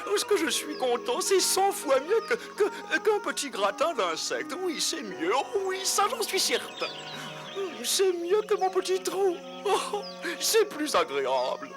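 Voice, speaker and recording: An actor voicing a timid, naive little male mole in an animated film dub, politely hesitant. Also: sits high, a little slow. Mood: delighted. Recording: music behind the voice.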